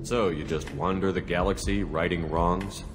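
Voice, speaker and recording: Man as deep-voiced, no-nonsense space commander. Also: steady tone, music in the background, natural voice. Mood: skeptical, firm. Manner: measured, matter-of-fact. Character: calm, no-nonsense male military space commander hero. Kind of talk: video game voice acting.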